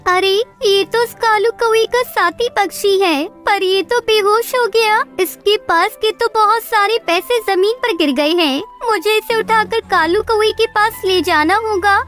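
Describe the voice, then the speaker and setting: A woman voicing an anxious, earnest and gentle mother bird in a children's cartoon story, with a pleading manner. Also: extremely high pitch, a little slow, few pauses, soft background music.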